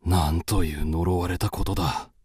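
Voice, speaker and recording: A man's voice: sits low, fairly even intonation, normal speed, a little mellow, husky, no echo, clean studio sound. An actor playing a moody, melancholic young man with restless fancies, narrating a literary radio drama in the first person. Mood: gloomy, weary.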